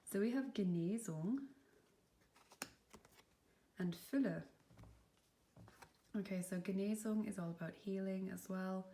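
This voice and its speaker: Soft-spoken woman with a cold. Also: medium pitch, takes it slowly, pauses often, slight background noise. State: has a cold, sniffly. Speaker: tarot reader. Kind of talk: pick-a-card tarot reading.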